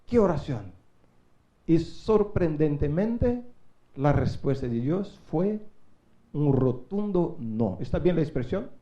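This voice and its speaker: High, dark-toned animated man preaching. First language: not Spanish. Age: middle-aged. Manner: animated preaching, rising to loud calls for response. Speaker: guest preacher. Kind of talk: sermon. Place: church.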